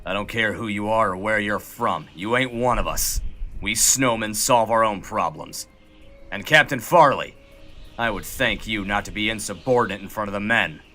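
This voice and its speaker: Man acting a forceful, gruff army major. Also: lowish voice, normal speed, soft background music. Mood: stern. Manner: stern, clipped, forceful. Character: gruff, commanding snowman army major, male. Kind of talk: comic war audio drama acting.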